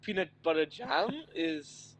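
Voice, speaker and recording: A man's voice: fairly high pitch, lively intonation, takes it slowly. A man co-hosting a racing live stream in casual chat, easygoing and teasing, laughing often between remarks. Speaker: race stream co-host.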